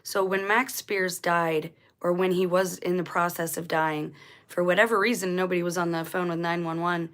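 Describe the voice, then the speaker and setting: Low-voiced woman with a scratchy throat. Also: steady tone, normal speed, a bit bright. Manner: rambling, self-correcting, conversational. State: scratchy, dry voice. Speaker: channel host. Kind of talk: casual commentary vlog.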